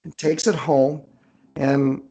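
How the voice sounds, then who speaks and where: A man's voice: fairly high pitch, level tone, slow, dark tone, highs cut off. A man speaking as an author guest in a casual interview.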